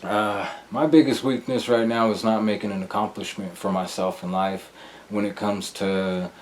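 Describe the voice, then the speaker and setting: A young man with a deep voice, answering interview questions in an earnest, halting way with many restarts and fillers. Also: fairly even intonation. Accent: American. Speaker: rapper, interview guest.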